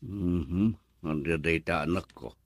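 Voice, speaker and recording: A man's voice: low-pitched, slow pace, dry recording, clean studio sound, heavily acted. A man with an Ilocano Filipino accent acting in a radio drama as a caring, observant middle-aged father of a grown daughter. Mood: concerned.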